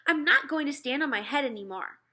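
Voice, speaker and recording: An exasperated grade-school big brother in a storybook, acted by a woman. He protests emphatically in a high, bright voice. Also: lively intonation, brisk, full character voice. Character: exasperated fourth-grade boy, fed-up older brother.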